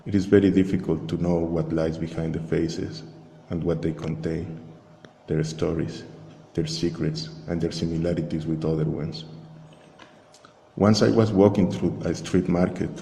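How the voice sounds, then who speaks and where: Male narrator, dark flat delivery, measured and reflective scripted storytelling, echoey room with faint noise. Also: sits low, creaky. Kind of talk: slow scripted first-person narration, spoken-word art piece. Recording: echoey long reverb, faint hiss.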